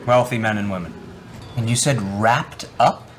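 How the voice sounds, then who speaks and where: A man's voice: mid-range voice, normal speed, a little hiss or hum. A man playing a tabletop game, speaking casually in character.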